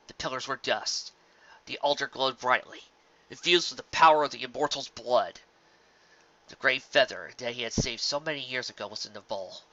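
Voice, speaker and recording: An earnest, emphatic speaker reading fan fiction and giving commentary on it, with dramatic pauses. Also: medium pitch, bright, forceful tone, lo-fi sound. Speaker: fan fiction reader and reviewer. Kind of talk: story reading and casual review commentary.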